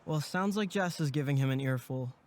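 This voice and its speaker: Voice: mid-range voice, a little fast, heavy background noise, lightly acted. A performer acting as a protective, practical young male camp counselor in an audio drama. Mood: reassuring, resolute.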